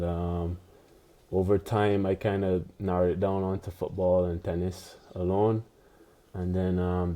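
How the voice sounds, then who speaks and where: A man in his twenties from Barbados, a pro tennis player chatting as a podcast guest, relaxed and loose with lots of "you know". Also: sits low. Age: 20s. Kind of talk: casual interview chat.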